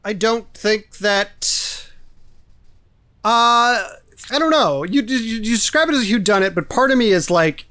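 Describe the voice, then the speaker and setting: Deliberate, conversational male podcaster. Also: high voice, animated, bright, forceful tone. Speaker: podcast co-host. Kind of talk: casual film discussion.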